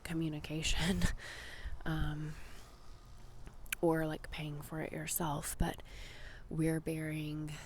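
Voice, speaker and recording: A woman speaks in a very slow, hushed half-whisper with a gravelly fry. Her delivery is an informal, rambling ASMR chat, recorded outside in a yard with some noise of wind around her. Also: low voice. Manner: soft whispering and hushed talk, chatty, informal. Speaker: ASMR vlogger. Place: outdoors in a residential backyard. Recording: faint wind and outdoor noise.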